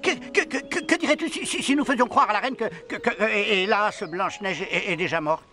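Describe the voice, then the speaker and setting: Nervous little cartoon toy scholar: very shrill, animated delivery, stuttering, self-important, music underneath. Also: male voice, quick pace. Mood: nervous, pondering. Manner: stammering, pompous. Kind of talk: animated fairy-tale dubbing.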